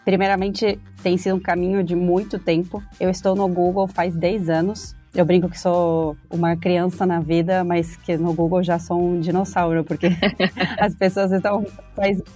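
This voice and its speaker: Argentine woman, low and flat. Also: moderate pace, faint music behind. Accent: Argentine Spanish. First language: Spanish. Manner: thoughtful, with fillers and restarts. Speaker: podcast guest, marketing manager. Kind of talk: casual interview talk.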